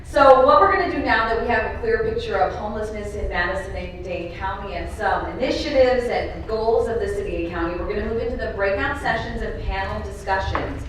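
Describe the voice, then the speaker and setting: A woman's voice: medium pitch, a little fast, hardly any pauses, background noise. Upbeat woman emcee in an echoey hall. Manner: upbeat, thankful, direct. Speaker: event emcee. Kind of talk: public speaking at a conference. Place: large conference room. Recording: echoey hall, voice heard from far off.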